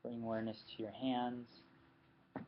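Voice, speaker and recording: Male yoga nidra instructor, medium pitch, very level delivery, spacious pauses between simple instructions; lo-fi sound. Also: little pitch movement. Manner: unhurried, short phrases with long pauses. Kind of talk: guided meditation, slow body-scan instructions. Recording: muffled lo-fi recording with background noise.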